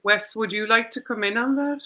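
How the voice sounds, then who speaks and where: A woman moderating a panel discussion, putting questions in measured, formal, articulate phrasing. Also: a little high, sharp tone.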